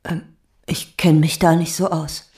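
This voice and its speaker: A woman's voice: low voice, little pitch movement, measured pace, darkish tone, slight background noise. An actress playing an anxious, hesitant mother in a radio drama, speaking plainly.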